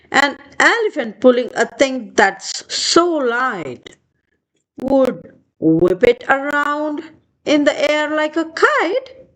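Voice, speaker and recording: A woman reading a children's picture book aloud in an expressive storytelling style. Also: sits high.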